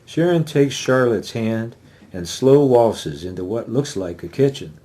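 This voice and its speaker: A man's voice: mid-range voice, animated, unhurried, warm tone. A man, the author, giving a dramatic reading of his first-person novel.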